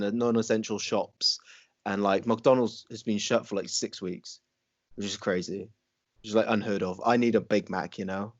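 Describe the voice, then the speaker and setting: A man's voice: on the low side, highs cut off. A young man from Wales, with an accent that mixes English, American and Welsh, co-hosting a podcast in casual, rambling talk full of ums and restarts. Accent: mixed English, American and Welsh. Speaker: podcast co-host. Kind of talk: casual chat.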